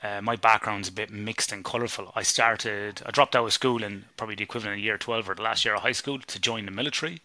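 A man's voice: fairly low pitch, fairly even intonation, quick pace, hardly any pauses, bright, forceful tone, no room sound. A middle-aged Irish man, a sleep researcher, chatting casually as a podcast guest, swearing and laughing easily. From Ireland.

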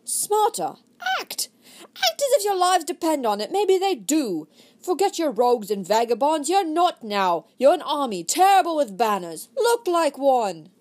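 A woman voicing a proud, theatrical male actor who takes command with grand, booming delivery. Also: big pitch swings, moderate pace, a little mellow. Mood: rousing.

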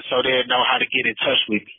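A man's voice: medium pitch, level tone, fast talker, noisy background. A man speaking casually and earnestly in a plea over a phone call, often adding "man" and "you know.". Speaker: incarcerated storyteller giving testimony. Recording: muffled lo-fi prison phone call.

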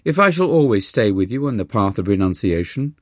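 A man's voice: on the low side, sing-song, fairly quick, no room sound. Young male disciple played by an adult man, imploring and deferential, storybook narration style, dry sound. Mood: earnest pleading. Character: earnest, pleading young schoolboy disciple in India.

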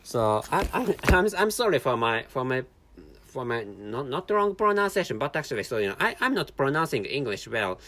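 A tired Japanese man talks alone in English with a clear Japanese accent, stopping and restarting his phrases again and again. His pitch rises and falls a lot from line to line. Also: sing-song, bright, forceful tone, no room sound. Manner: halting, many restarts and repeated words. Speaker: podcast host. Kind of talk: casual solo monologue.

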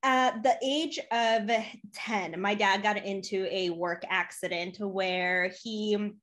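A woman's voice: mid-range voice, fairly even intonation, slow pace, fairly bright tone. An American woman in her thirties telling personal stories as a podcast guest, in a chatty, informal way. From United States, born in Poland, grew up in Chicago. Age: 30s. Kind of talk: casual personal storytelling.

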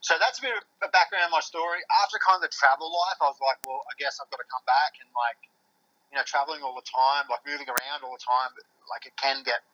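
Bright-voiced Australian man, rambling. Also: medium pitch, fast, highs cut off. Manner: rambling, many fillers and restarts. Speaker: podcast guest. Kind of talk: casual chat.

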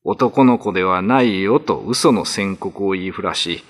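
A man's voice: low voice, unhurried. A man narrating a classical ghost story for radio drama in a theatrical, ominous, scripted style. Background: music.

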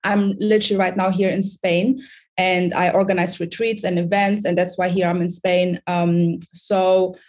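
A woman's voice: lowish voice, level tone, normal speed, a little mellow. A woman from Sudan, a confidence coach, talking as a guest on a podcast in an upbeat, encouraging way and laughing often. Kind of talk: casual interview chat.

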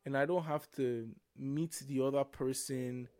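A man's voice: mid-range voice, steady tone, measured pace, darkish tone, dry recording. Young Nigerian man, dry close sound. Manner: reflective, repeats and restarts words. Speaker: podcast co-host. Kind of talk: casual faith-based podcast chat.

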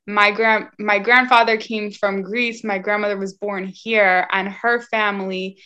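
A woman's voice: medium pitch, flat delivery, measured pace, bright, forceful tone. A woman hosting a podcast, chatting casually and filling her talk with "like" and "you know". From United States. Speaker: podcast host. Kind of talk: casual chat. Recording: slightly dull lo-fi audio.

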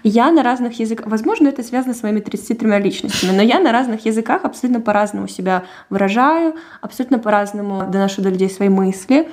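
A woman's voice: on the high side, fast talker, hardly any pauses, a little mellow. A woman co-hosting a podcast, chatting casually and animatedly, laughing often as she talks. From Russian- and Ukrainian-speaking. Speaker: podcast co-host. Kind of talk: casual chat.

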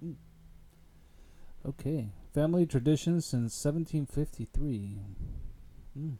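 A man's voice: fairly high pitch, measured pace, warm tone. A young man hosting a casual beer podcast, playful and crude, tossing in mock German words. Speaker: podcast host. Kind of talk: casual chat.

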